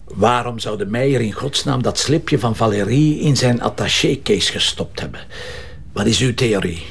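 A man's voice: medium pitch, moderate pace, gravelly, slightly rough. A man with a Flemish accent playing a stubborn, wry police commissioner in a detective audio drama, speaking informally and musingly. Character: dogged male police commissioner, stubborn, hunch-driven, wry.

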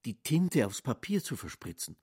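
A man's voice: medium pitch, big pitch swings, normal speed, warm tone, breathy edge, dry recording, studio quality. A man as a narrating voice in a satirical literary radio drama. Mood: pointed.

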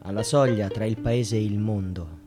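An actor playing a solemn poetic narrator in a radio play, reciting in a measured, dramatic way with long pauses. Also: male voice, fairly low pitch, music in the background, close to an everyday voice. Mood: solemn, brooding. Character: solemn male poetic narrator brooding on death and indifference.

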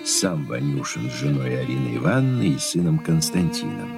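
Male radio drama narrator: extremely low, expressive intonation, scripted scene-setting, prominent music underneath. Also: normal speed, creaky. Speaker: narrator reading stage directions.

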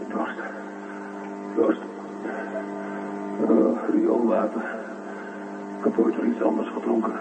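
An actor playing an exhausted, tormented astronaut in a radio drama, halting and groaning, swinging between defiance and pleading. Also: male voice, lowish voice, level tone, gravelly. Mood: muttering, defiant. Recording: echoey distant dull radio recording, loud music.

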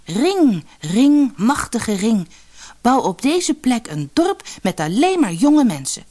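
Lady doing a storybook village boy, young and eager, bossy, pitched up, bit husky. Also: big pitch swings, takes it slowly, warm tone, heavily acted. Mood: commanding, incantatory. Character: young village boy, hopeful, commanding a magic ring. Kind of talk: storybook character voice.

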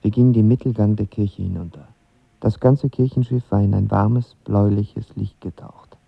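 Low, husky lovestruck young man. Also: moderate pace, no echo. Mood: reflective, intimate. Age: young adult. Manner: intimate, reflective. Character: lovestruck impulsive young man in his twenties, narrating his romance. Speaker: lead actor and narrator. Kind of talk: intimate first-person audio drama narration. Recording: dull studio sound with music behind.

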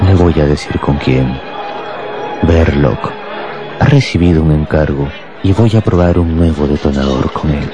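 A deep, deliberate voice belongs to a fanatical bomb-making professor. He is proud and coldly menacing, savoring his own grandeur. Prominent music plays behind this radio drama performance. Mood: solemn, obsessed. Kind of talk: Spanish-language radio drama acting.

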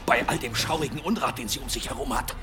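A man's voice: medium pitch, fast talker, music in the background, natural voice. A man playing a worried, blunt and impatient village blacksmith in a radio drama, with an agitated way of talking. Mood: disgusted. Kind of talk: German radio drama acting.

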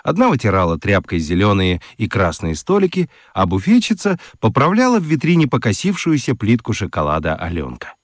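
A man narrating a children's story in an expressive, theatrical storytelling manner. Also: lowish voice, brisk, hardly any pauses, no room sound, studio quality.

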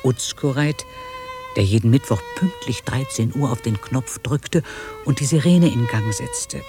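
A man narrating a radio drama in a measured, literary storytelling manner. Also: medium pitch, lively intonation, over music.

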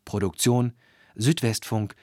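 A man reading formal scripted credits as a radio announcer, measured and precise. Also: on the low side, steady tone, dark tone, dry recording, studio quality.

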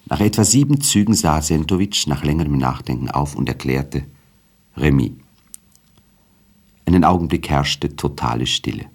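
Male radio drama narrator, extremely low pitch, husky, formal, measured literary storytelling. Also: expressive.

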